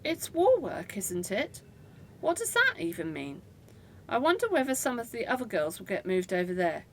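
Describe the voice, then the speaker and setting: Woman acting high-voiced, inquisitive factory gossip. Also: big pitch swings, moderate pace, a little hiss or hum. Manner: questioning, gossipy. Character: inquisitive, speculating wartime factory woman worker. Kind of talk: amateur radio play acting.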